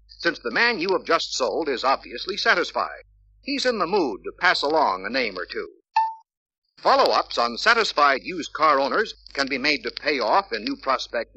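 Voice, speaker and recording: An American man reading scripted sales-training narration in an emphatic, deliberate, persuasive way on a vintage film soundtrack. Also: mid-range voice, bright, forceful tone. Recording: dull vintage filmstrip soundtrack, faint hiss.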